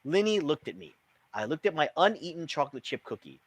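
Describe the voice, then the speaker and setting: A man reading a children's book aloud as an expressive storyteller. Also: fairly high pitch, fairly quick, slightly rough, no echo.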